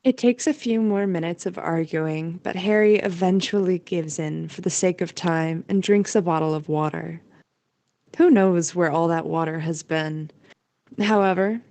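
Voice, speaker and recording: A woman narrates an audio play in a mellow, somewhat low voice. Her scripted storytelling is recorded very dry and close. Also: dry recording.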